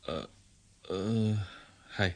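A man's voice: lowish voice, very slow, heavily acted. Hesitant, courteous male boss. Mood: hesitant, flirting. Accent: Hong Kong Cantonese. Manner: hesitant, polite. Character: married male boss, courteous, awkwardly flirting with employee. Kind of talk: radio drama acting.

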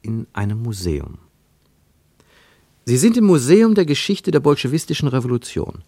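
An actor playing the wry, observant narrator of a literary radio drama, with measured, pointed phrasing. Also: male voice, mid-range voice, sing-song, gravelly, mellow, dry recording. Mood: ironic, emphatic. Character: wry, observant male narrator of a travel story.

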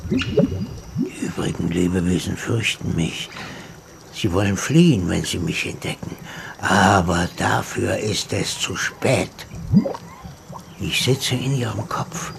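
An actor playing a patient, menacing swamp reptile that speaks as the primal brainstem, in a slow, hushed, half-whispered way, heard from an old tape in a radio drama. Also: male voice, deep voice, big pitch swings, vocal fry, heavily acted. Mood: menacing calm. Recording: hissy tape recording.

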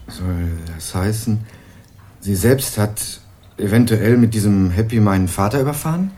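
An actor playing a wary young student. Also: male voice, lowish voice, a little slow, mellow, lightly acted. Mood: guarded. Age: young adult. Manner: curt, wary, clipped answers. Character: young male student, guarded son. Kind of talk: 1980s radio crime drama.

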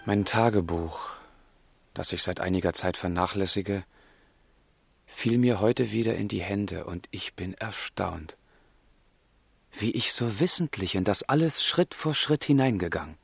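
Casting: volatile, lovesick young gentleman for literary radio play; lowish, husky, theatrical. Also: unhurried, no echo, heavily acted. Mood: brooding, fervent. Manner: fervent, theatrical, confiding. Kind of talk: German literary radio drama acting.

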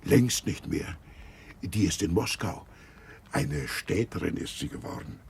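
A man's voice: low-pitched, expressive, measured pace, creaky, darkish tone, slightly rough, full character voice. A man playing a plain-spoken, gossipy coachman in a 1960s German radio play, blunt and rustic, with emphatic delivery.

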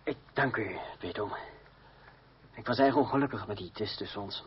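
Muffled, courteous young French fencing master played by an actor. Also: male voice, on the low side, normal speed, lightly acted. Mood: respectful. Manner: polite, formal, dryly ironic. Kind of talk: radio play acting. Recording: muffled old radio play, faint noise.

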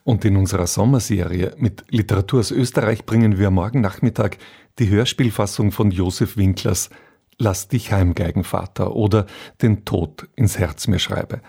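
A man radio announcer calmly giving program notes, with a husky voice. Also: fairly low pitch, moderate pace.

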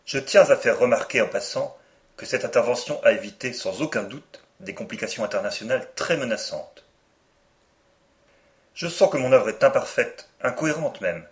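A man reading a first-person story aloud as an audiobook, with a solemn, deliberate delivery. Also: mid-range voice, lively intonation. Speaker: audiobook reader.

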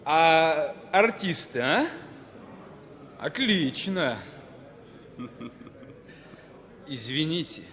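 A man's voice: on the high side, big pitch swings, takes it slowly, a bit bright, in a large hall, heavily acted. An actor playing a naive, friendly young male theatregoer, eager and apologetic, in a 1960s radio play. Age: young adult. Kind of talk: 1960s radio drama acting.

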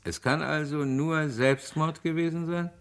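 Composed, probing police inspector. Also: male voice, a little high, fairly even intonation, unhurried. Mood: grave, probing. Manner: polite, methodical, authoritative. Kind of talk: German radio crime play acting.

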